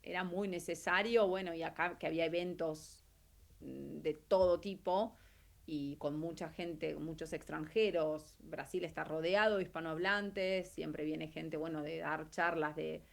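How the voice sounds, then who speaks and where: A middle-aged woman from Argentina with an Argentine accent, speaking casually as an interview guest and explaining her work, pausing mid-thought as she goes. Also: deep voice, fairly even intonation, creaky. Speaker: interview guest, actress and translator. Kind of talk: casual interview, telling career story.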